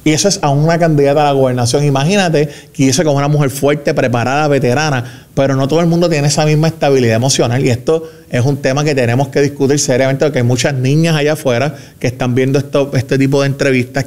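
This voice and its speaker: A Puerto Rican man speaking as a government spokesman in a radio interview, measured and emphatic, with fillers and restarts. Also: medium pitch, steady tone, darkish tone. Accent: Puerto Rican Spanish. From Puerto Rico.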